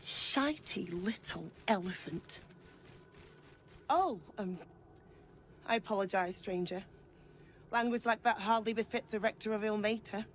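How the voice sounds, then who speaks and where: A woman's voice: mid-range voice, measured pace, long pauses, a little hiss or hum, close to an everyday voice. A woman playing an earnest, troubled temple priestess in a game, speaking with insistence. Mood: embarrassed. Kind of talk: dramatic game voice acting.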